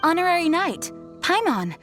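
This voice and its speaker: A woman's voice: very high-pitched, sing-song, moderate pace. A woman playing a dutiful, courteous knight commander in an anime-style video game, formal, earnest and composed. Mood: earnest, concerned. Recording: music behind the voice.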